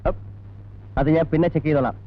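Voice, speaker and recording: An actor playing a brisk, insistent police detective in a 1980s film drama, probing in a businesslike way. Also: male voice, on the high side, close to an everyday voice. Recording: dull old film soundtrack.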